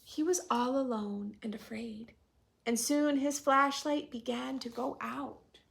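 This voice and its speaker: A woman's voice: sits high, slow pace, vocal fry. A woman reading a storybook aloud to young children, expressively, with chatty, questioning asides to her listeners. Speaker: children's story reader and host.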